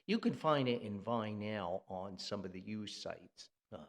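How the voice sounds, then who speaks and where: A man's voice: fairly low pitch, expressive, unhurried. A man with a New Jersey accent, hosting a podcast and talking about music in a rambling, enthusiastic way, with fillers and chuckles. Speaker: podcast host and music critic. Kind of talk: casual, unscripted podcast commentary.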